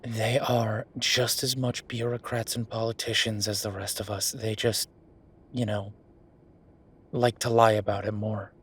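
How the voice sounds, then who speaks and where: Guy playing a fed up werewolf bureaucrat boyfriend in an audio rp, low husky voice, dry and sardonic. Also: little pitch movement, a bit bright. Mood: weary, coaxing. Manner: weary, sardonic, conversational with emphatic pauses. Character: exasperated werewolf official venting to his partner. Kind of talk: roleplay audio drama monologue.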